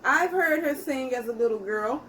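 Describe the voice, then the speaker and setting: Lady doing kids story time, kinda high voice, really up and down, teachery, some background noise. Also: lively intonation, moderate pace, a bit bright, poor audio. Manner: addresses young listeners directly, teacherly. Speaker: children's storytime host. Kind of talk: read-aloud story for children.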